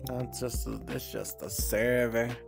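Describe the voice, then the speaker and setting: A woman's voice: extremely low pitch, slow, vocal fry. A young woman streaming from home, chatting casually in a rambling, giggly way and swearing freely. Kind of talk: casual live-stream chat. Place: streaming at home, VR avatar on screen. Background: soft background music.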